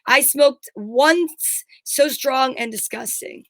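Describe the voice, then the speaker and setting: A woman's voice: high-pitched, takes it slowly, a bit bright, no echo. A middle-aged woman co-hosting a talk show, chatting casually in a blunt, candid, rambling storytelling way. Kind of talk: casual chat.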